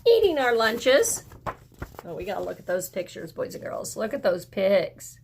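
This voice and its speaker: A woman teaching young children as a kindergarten teacher, speaking deliberately and encouragingly, with questions and pauses for answers. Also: fairly low pitch, fairly even intonation, creaky, warm tone, slight background noise. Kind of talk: video lesson and read-aloud for young children.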